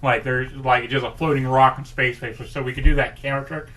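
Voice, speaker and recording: A man co-hosting a casual podcast, pitching ideas in rambling group banter. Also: fairly even intonation, quick pace, a bit bright.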